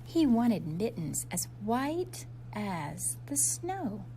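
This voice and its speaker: Slow-paced woman reading a children's storybook. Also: fairly high pitch, background noise. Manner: expressive, addressing young listeners with simple questions and asides. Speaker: teacher reading aloud to young children. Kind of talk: children's picture-book read-aloud.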